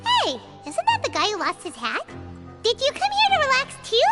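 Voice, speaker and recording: A woman's voice: very high-pitched, huge pitch swings, moderate pace, full character voice. A woman voicing a small, cheerful, blunt fairy companion in a video game, perky and chatty. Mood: surprised. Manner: speaks of self in third person, perky. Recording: game music behind the voice.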